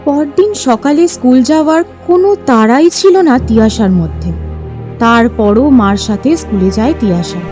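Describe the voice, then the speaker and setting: Woman story narrator, highish voice, scripted audio tale w music under it. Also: expressive, moderate pace, a little mellow.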